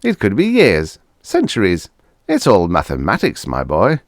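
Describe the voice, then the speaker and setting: A man's voice: low-pitched, wildly swooping pitch, a little slow, no room sound, clean studio sound, pitched above the performer's own voice, heavily acted. A man voicing a shifty older mad doctor in a science fiction audiobook, fussy, theatrical and patronizing. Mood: grandly boastful.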